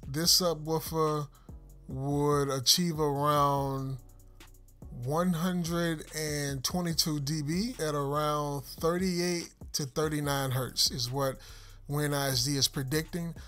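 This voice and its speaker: Higher-pitched man explaining a product test. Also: steady tone, slow, a bit bright, soft background music. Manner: explanatory, addresses viewers as "you guys". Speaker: car audio reviewer and host. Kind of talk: hands-on product test commentary. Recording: clear background noise, vehicle ambience.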